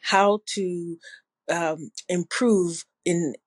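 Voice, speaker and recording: Measured woman guest, faint Ghanaian-tinged accent. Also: fairly low pitch, fairly even intonation, dry recording. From New Jersey, family from Ghana. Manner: measured and thoughtful, with occasional restarts. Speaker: public health evaluator, podcast guest. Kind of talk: conversational interview answers.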